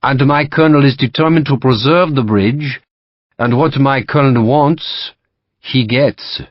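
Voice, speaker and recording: A man voicing a smooth, politely mocking young French officer in an audiobook. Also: medium pitch, a little slow, dry recording, muffled recording, voice pushed up, close to an everyday voice. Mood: proud.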